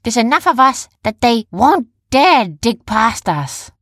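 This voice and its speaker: Woman voicing Jumba, a high, brave creature leader. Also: big pitch swings, slow, a bit bright, no room sound, clean studio sound, full character voice. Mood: determined, uncertain. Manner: impassioned, declamatory rallying. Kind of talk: children's storybook character voice.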